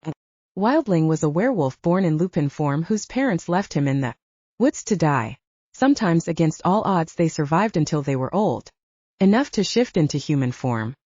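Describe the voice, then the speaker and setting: Mellow woman narrating fiction, lively pitch. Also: moderate pace, dry recording, lo-fi sound. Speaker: audiobook narrator.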